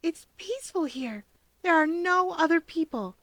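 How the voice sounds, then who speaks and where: A woman's voice: very high voice, lively intonation, measured pace, a bit bright, dry recording, pitched above the performer's own voice, full character voice. A woman voicing a shy young boy who is eager and delighted by solitude, with childlike exclamations, in a story reading.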